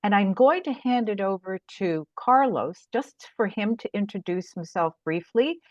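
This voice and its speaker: A woman's voice: medium pitch, lively intonation, moderate pace, dry recording, dull audio. A woman speaking as the host of an academic discussion, introducing things to an audience in a semi-formal way. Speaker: host, professor moderating a discussion. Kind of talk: introducing a guest at an online talk.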